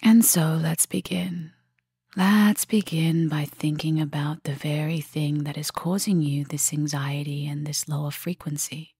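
A woman guiding a meditation in a soft, breathy, husky voice that often nears a whisper, gentle and unhurried with long pauses. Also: sits low, fairly even intonation, no room sound, studio quality. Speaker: meditation guide. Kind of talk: guided meditation, soft and soothing delivery.

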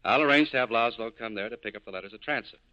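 An actor playing a cool, cynical nightclub owner in clipped, hard-boiled 1940s radio style. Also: male voice, medium pitch, rapid-fire, muffled recording, natural voice. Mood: businesslike. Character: tough, cool cynical male nightclub owner scheming an escape. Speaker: lead actor in a radio drama.

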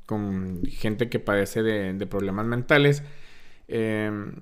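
A man in his thirties from Texas, a guest on a casual podcast, relaxed and hesitant, speaking into a studio mic. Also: fairly low pitch, smooth. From El Paso, Texas. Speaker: guest, actor and singer. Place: small decorated studio room. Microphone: studio mic on boom arm, headphones.

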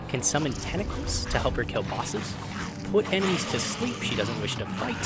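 A man hosting a gaming video, giving a scripted explainer in an informal, matter-of-fact way. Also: mid-range voice. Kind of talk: scripted explainer narration of a game character ranking. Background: music behind the voice.

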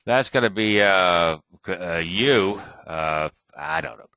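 A man thinking aloud and muttering to himself as he clicks through an off-the-cuff software tutorial at his computer. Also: sits low, takes it slowly, smooth, dry recording. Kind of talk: off-the-cuff screen recording tutorial.

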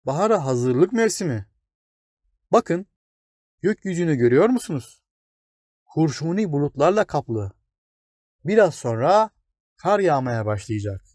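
A man's voice: fairly high pitch, darkish tone, no echo, studio quality. A man voicing an old, wise, towering plane tree in a children's storybook, kindly but grave, explaining things patiently. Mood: consoling, reassuring. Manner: explains patiently, exclaims and addresses small listeners. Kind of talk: children's storybook character reading.

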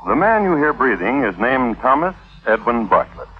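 An actor playing a wry, morbidly droll host narrating true crime in deadpan, deliberate 1950s radio style. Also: male voice, mid-range voice, lightly acted. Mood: deadpan, macabre. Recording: tinny vintage 1950s radio broadcast.

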